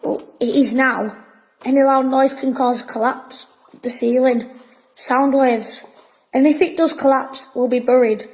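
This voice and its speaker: A woman acting a high-voiced, bossy young boy. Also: fairly even intonation, measured pace, a little mellow, poor audio. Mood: spooky, boastful. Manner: officious, storytelling. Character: bossy, boastful wartime boy spinning spooky tales. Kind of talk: audio drama acting.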